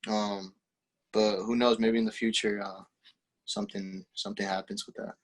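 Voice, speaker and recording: A teenage man whose first language is Spanish talks casually as an interview guest. His voice sits fairly low, and his answers come out relaxed and halting, with lots of "um" and restarts. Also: rough recording. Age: teens. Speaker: guest, young footballer.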